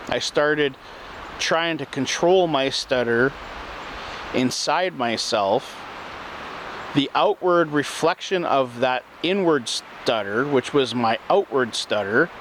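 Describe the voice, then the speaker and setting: A man talks earnestly in a slow, repetitive self-help manner, his voice sitting in an ordinary middle pitch. He is recorded outdoors in an urban spot, with faint traffic noise underneath. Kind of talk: spoken self-help talk.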